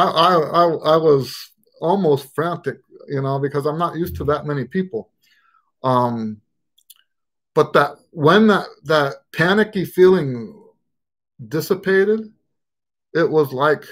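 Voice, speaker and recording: A middle-aged man, a trumpet teacher, answering questions in a casual live stream from his living room on a webcam, rambling and restarting his sentences. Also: a little high. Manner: rambling, frequent restarts and stammered repeats. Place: living room at home, indoors. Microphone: webcam at desk distance.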